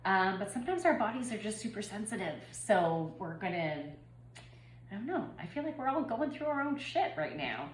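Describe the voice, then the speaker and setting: A woman's voice: mid-range voice, big pitch swings, moderate pace. Congested woman in an echoey room. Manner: rambling, personal, frequent ums and restarts. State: slightly congested from a head cold. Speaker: spiritual reader and yoga teacher. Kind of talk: casual spiritual channeling vlog. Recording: echoey room with faint background noise.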